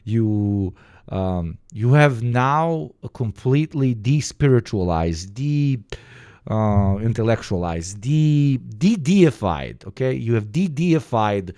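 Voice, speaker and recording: A man with an Eastern European accent, reacting to a video in casual, profane, rambling commentary with sudden animated bursts. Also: medium pitch, takes it slowly, no echo, studio quality.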